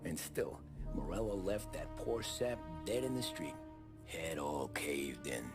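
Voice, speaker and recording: A man's voice: deep voice, sing-song, measured pace, heavily acted. An actor playing a weary American cabbie turned mob enforcer in a game, recounting his past in plain, reflective street talk. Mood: matter-of-fact. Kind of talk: dramatic game voice acting, conversational narration. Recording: music behind the voice.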